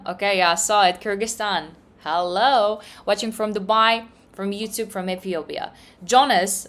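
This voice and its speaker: A woman's voice: mid-range voice, a bit bright. Chatty woman hosting a livestream. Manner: cheerful, reads comments aloud, non-native English phrasing. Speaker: trading livestream host. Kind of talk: casual livestream chat reading viewer comments.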